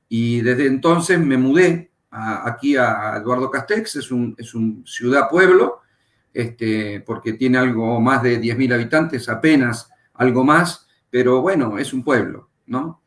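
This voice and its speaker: A middle-aged Argentine man, a pastor and Bible teacher, calmly telling his life story as a guest in an interview. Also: mid-range voice. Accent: Argentine Spanish. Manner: unhurried, reflective, pauses with fillers. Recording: echoey room.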